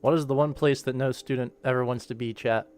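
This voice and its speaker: A man's voice: mid-range voice, fairly even intonation, a little fast, a little mellow. A man hosting a casual work livestream, chatty and self-deprecating as he explains details. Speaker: animation creator and livestream host.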